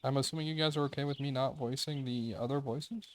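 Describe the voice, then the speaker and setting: A man's voice: mid-range voice, steady tone, fast, slight background noise. A man hosting a game review video with casual, tentative, unscripted commentary, thinking aloud as he goes.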